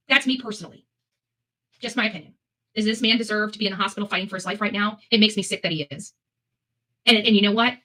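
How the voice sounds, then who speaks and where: A woman's voice: medium pitch, pushed and bright, low-quality recording. A woman hosting live opinion commentary, speaking bluntly and emphatically, repeating phrases for weight and swearing freely. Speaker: host of a live commentary show.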